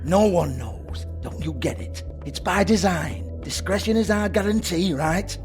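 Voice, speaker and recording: Sleazy British pimp, high voice, sarcastic, sweary, game dialogue, music behind. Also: male voice, measured pace. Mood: evasive, irritated. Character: foul-mouthed, sneering, shifty male club boss and pimp. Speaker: game character, shady club owner. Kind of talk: video game voice acting.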